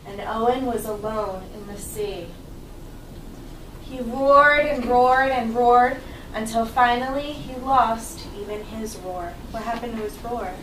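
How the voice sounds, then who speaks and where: A woman's voice: fairly high pitch, slow, a bit bright. A woman leading a group of children, reading aloud and prompting them with questions, often repeating words. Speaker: after-school group leader. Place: indoor classroom, recorded from a distance. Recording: echoey room, distant mic, background noise.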